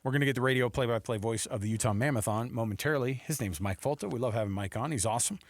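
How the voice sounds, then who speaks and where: A man hosting a sports radio talk show in an informal, slangy, animated way. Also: medium pitch, racing, no echo.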